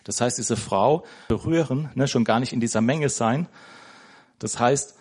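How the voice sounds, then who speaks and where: Male lay preacher, mid-range voice, loose and conversational delivery in a church, stumbling over words and tagging lines with a quick question. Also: mid-range voice, darkish tone, breathy edge. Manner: conversational, tags nearly every sentence with "ne?"; searching for words, restarting sentences. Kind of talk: informal sermon.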